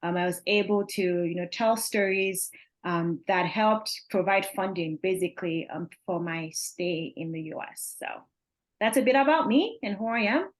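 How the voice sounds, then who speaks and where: A woman's voice: mid-range voice, moderate pace. A woman in her thirties from Nigeria, sharing her path as a webinar co-presenter in loose, self-correcting conversational phrasing. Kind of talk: personal story in a webinar.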